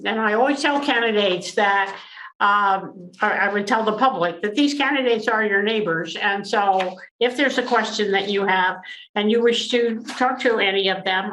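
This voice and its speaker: A woman's voice: mid-range voice, a bit bright. Woman moderating a forum in an echoey room. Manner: halting, with many fillers and restarts. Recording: echoey room with faint noise.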